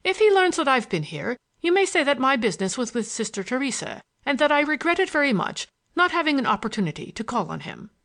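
A woman's voice: high voice, big pitch swings, a little fast, few pauses, a bit bright, voice pushed up, exaggerated voice. A woman voicing an impatient, imperious, scheming businessman with a curt, commanding manner in an audiobook.

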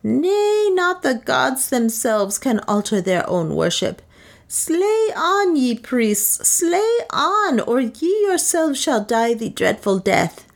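A frenzied old high priest, as a woman reader puts him on, shrieking orders in a high, strained voice. He sounds fanatical and scornful, pushing his words with force. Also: big pitch swings, slow pace, creaky, heavily acted. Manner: frenzied, screaming.

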